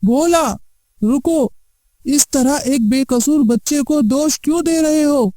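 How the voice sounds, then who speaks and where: Woman voicing a slow, high-pitched, wise old grandfather. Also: warm tone, no room sound, clean studio sound. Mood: grave. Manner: measured, advising. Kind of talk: Hindi animated moral story acting.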